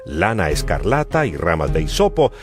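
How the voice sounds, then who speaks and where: A man's voice: sits low, big pitch swings, normal speed. A man giving a solemn, emphatic dramatized narration of scripture as a Bible narrator. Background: music.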